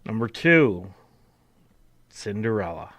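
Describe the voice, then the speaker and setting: A man's voice: on the low side, big pitch swings, slow pace, fairly bright tone, dry recording. Casual man co-host, close dry sound. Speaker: podcast co-host. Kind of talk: casual chat, reading out a countdown list.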